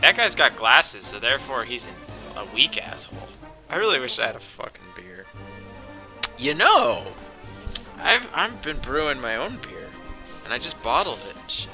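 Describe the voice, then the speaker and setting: A man's voice: mid-range voice, big pitch swings, normal speed, sharp tone, faint music behind. A man casually commentating on a game, rambling, sarcastic and profane.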